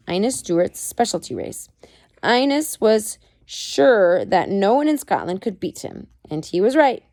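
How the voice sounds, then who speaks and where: A woman reading a biography aloud at home in a measured storytelling way, with expressive emphasis. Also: on the low side, no room sound. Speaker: parent reading aloud to child. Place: at home with a child nearby.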